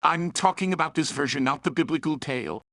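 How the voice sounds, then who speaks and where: A man's voice: a little high, a little fast, gravelly, a bit bright, no echo, clean studio sound. A man delivering a scripted review with opinionated, emphatic delivery. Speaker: story reviewer.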